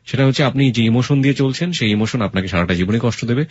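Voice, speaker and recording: A man's voice: medium pitch, fast talker, husky, highs cut off. A man with a Bangladeshi accent hosting a radio interview, giving blunt, emphatic advice and mixing in English phrases. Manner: mixes English words and phrases into speech. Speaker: radio host.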